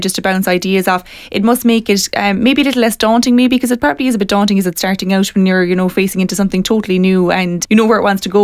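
A woman's voice: mid-range voice, no echo, studio quality. A woman interviewing a guest, asking long, encouraging questions with plenty of fillers. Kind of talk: radio-style interview questions.

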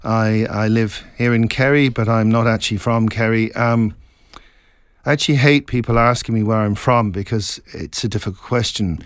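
A middle-aged man from Scotland, an author being interviewed, talking in a reflective, meandering way with halting restarts. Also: lowish voice, steady tone, clear, resonant tone, no room sound, clean studio sound. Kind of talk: casual interview chat.

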